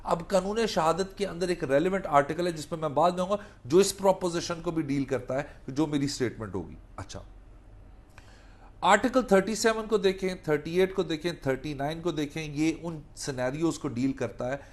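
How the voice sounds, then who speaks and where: A man's voice: a little high, expressive, normal speed. A Pakistani man speaking as a law lecturer, explaining animatedly in mixed Urdu and English and repeating key phrases. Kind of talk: spoken lecture mixing Urdu and English legal terms.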